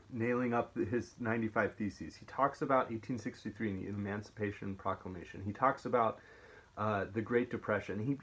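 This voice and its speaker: A man who teaches science, talking through a famous speech in a thoughtful, halting way, with frequent restarts, and reading passages from it aloud. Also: mid-range voice, husky. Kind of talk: casual spoken commentary with read-aloud passages.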